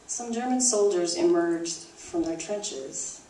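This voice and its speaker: Roomy, distant low-voiced woman presenting. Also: takes it slowly, dark tone. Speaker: puppet show performer and presenter. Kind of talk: scripted live stage presentation. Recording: roomy, distant mic, faint noise.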